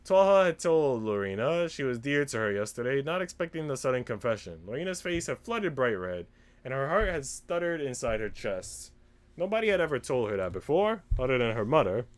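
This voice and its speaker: A man reading a visual novel aloud as expressive story narration for a livestream. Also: medium pitch, fairly quick.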